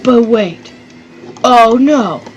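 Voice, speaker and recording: A woman hosting her own channel, giving loose, excitable commentary on a strategy game battle, rambling and swearing now and then. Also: a little high, extremely slow, a little hiss or hum. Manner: rambling and excitable, swears, does silly voices. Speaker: gaming channel host.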